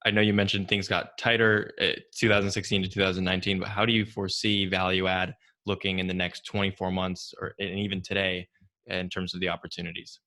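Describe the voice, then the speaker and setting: A man hosting a business interview in a measured, conversational way. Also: sits low, flat delivery, pushed and bright. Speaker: interview host, real estate investor.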